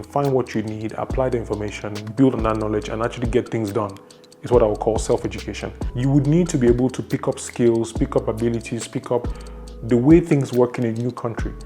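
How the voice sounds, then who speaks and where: Young Cameroonian man, upbeat advice vlogger, brisk and warm-toned, light music bed. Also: medium pitch. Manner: enthusiastic, conversational, lists numbered tips. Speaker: YouTuber. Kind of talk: advice vlog.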